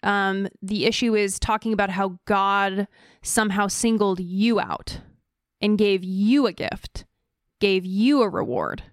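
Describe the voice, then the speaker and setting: A woman co-hosting a podcast, giving her opinion casually and earnestly, haltingly repeating words as she searches. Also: mid-range voice, lively intonation, dry recording, studio quality. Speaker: podcast co-host.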